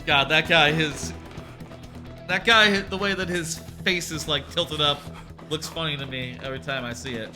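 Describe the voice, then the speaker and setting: A man's voice: on the high side, normal speed. A man streaming a game with casual, rambling, wry playthrough commentary. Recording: game music behind voice.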